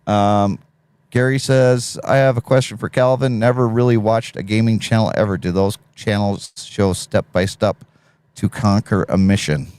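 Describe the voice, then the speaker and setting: Deep-voiced man hosting a live stream. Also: steady tone, normal speed, a little mellow, clean tone, no room sound. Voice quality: deep radio voice. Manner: laid-back, reads chat messages aloud, laughs often. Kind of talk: casual live stream chat.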